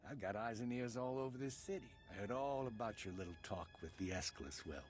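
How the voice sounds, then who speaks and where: A cocky game villain, acted by a man, swaggering and bossy as a crime boss. His pitch moves around in big swings, and loud music plays behind him. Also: lively intonation, brisk, natural voice. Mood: smug, threatening. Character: cocky criminal boss, bargaining, hot-tempered when crossed. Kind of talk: video game voice acting.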